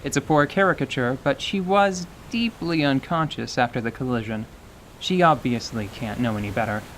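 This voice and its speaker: A man narrating a scripted radio theater story in a measured, descriptive way. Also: mid-range voice, fairly bright tone, slight background noise, rough recording. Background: faint music.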